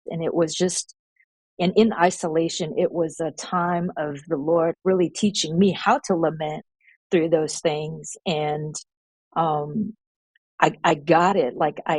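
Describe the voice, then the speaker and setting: A woman reflecting slowly and softly in a podcast interview about contributing to a book. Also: low-pitched, dry recording.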